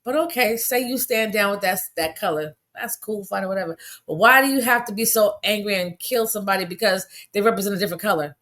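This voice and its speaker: A hoarse woman hosting her own podcast, talking in an animated, indignant and emphatic way. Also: mid-range voice, fast talker, hardly any pauses. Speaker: podcast host. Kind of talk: casual monologue podcast.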